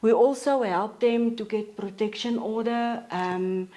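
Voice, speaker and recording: A South African woman in her forties, a counselor talking as a podcast guest in a steady, matter-of-fact way with frequent pauses. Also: fairly high pitch. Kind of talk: casual interview talk.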